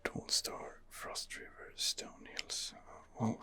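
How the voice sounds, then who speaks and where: A man softly narrating an ASMR game playthrough in character, hesitant and musing to himself in a hushed voice. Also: slow pace. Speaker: host of a roleplay gameplay series.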